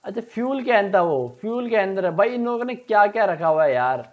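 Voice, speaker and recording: A man's voice: high voice, big pitch swings, a little fast, narrow, tinny audio. A young man giving casual let's play commentary, thinking aloud and repeating himself as he plays. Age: young adult. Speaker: gaming streamer.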